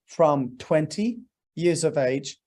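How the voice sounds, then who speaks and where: A man's voice: on the high side, animated, takes it slowly, a little mellow. A man in his forties from Norway, a guest in an interview, talking earnestly and preacherly in long emphatic lists. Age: 40s. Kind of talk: interview, motivational talk.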